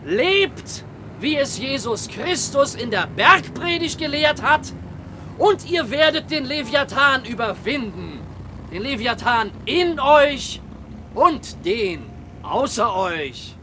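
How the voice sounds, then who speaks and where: Fervent preacher, wildly swooping delivery, slow emphatic phrases, radio play sermon. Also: male voice, very high-pitched, very animated, pushed and bright, slight background noise, full character voice. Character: fervent male lay preacher exhorting his friends.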